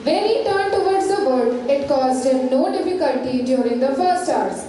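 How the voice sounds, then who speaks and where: A woman's voice: high-pitched, expressive, moderate pace, warm tone. A young woman reading scripted narration for a stage play. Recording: echoey hall, faint noise.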